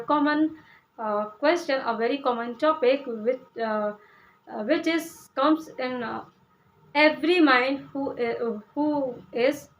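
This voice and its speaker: A woman's voice: high voice, highs cut off. A young Indian woman from Uttar Pradesh, a Hindi speaker, explaining English learning with many fillers and restarts. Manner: hesitant, many "uh" fillers and restarts, asks "okay?". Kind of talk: casual explanatory talk to camera.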